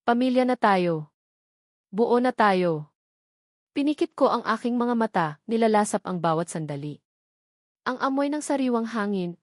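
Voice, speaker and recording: A woman narrates a dramatic tale with earnest feeling. Her voice sits at a middle pitch, and the recording is close and dry, with no room around it. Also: a little mellow, no room sound. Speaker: story narrator. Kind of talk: dramatic scripted audio story narration.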